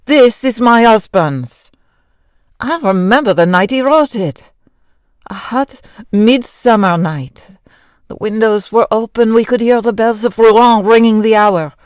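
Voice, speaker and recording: A woman's voice: on the high side, expressive, unhurried, a little mellow, smooth, dry recording, clean studio sound. A woman playing a sorrowful middle-aged estranged wife in an old-time radio drama, formal and restrained. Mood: grave.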